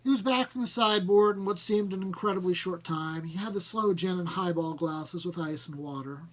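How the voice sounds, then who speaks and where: A man narrating a first-person short story, reading in an expressive, measured way. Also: high-pitched. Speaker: audiobook narrator.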